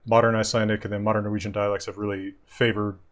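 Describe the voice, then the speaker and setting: A man hosting a casual video call, patiently explaining grammar and reading old sentences aloud slowly. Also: fairly low pitch, level tone. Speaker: host.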